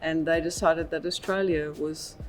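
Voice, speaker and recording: Calm Indonesian-born woman, matter-of-fact. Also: low voice, moderate pace. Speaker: interviewee. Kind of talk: personal interview. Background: background music.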